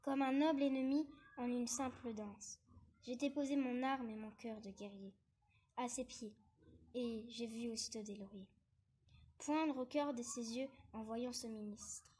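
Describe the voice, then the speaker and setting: A woman performing a bitter, ambitious male war minister in dramatic, declamatory theatrical verse, with measured phrasing. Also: fairly high pitch, fairly even intonation, warm tone, slightly rough. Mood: lovestruck. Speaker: actor reading a verse monologue.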